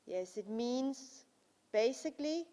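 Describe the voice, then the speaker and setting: A woman with a Danish accent gives a formal speech in a large conference hall, her voice fairly high and her delivery slow and careful. Long reverb trails each phrase, and she often pauses with small fillers. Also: expressive, mellow. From Denmark. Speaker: guest speaker.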